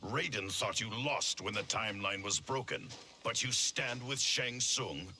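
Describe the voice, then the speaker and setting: An actor playing a wary, distrustful warrior in a video game cutscene, with a suspicious, challenging manner. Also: male voice, fairly low pitch, a little slow, full character voice. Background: loud orchestral game score.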